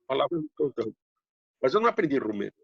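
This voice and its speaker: An older man whose first language is Hungarian, speaking with a slight Hungarian-tinged accent and a heavy R, telling stories in an unhurried, rambling interview manner. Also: medium pitch, big pitch swings, vocal fry, husky, no room sound, dull audio. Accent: slight Hungarian-tinged Brazilian Portuguese, heavy R. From Brazil, raised in São Paulo. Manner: unhurried, rambling, frequent tag questions. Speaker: guest writer. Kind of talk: casual interview storytelling.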